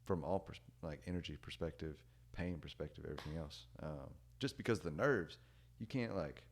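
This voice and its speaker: A young man chatting casually as a podcast guest, rambling with lots of "like" and "dude" and letting his sentences trail off quietly. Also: rumbling low, normal speed. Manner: rambling, many "like" and "dude" fillers; relaxed, trails off quietly at ends of thoughts. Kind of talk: casual storytelling chat.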